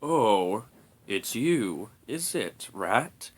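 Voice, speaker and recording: A woman voicing a sleepy, calm male dragon in a storybook, with a hoarse, murmuring voice. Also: very deep voice, drawn-out words, heavily acted. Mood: drowsy.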